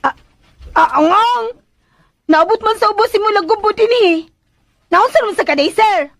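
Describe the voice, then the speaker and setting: A woman's voice: extremely high pitch, moderate pace, fairly bright tone, dry recording. A Filipino woman playing a flustered, eager-to-please young housemaid in a radio drama, plaintive and hesitant. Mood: concerned. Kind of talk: Philippine radio drama acting.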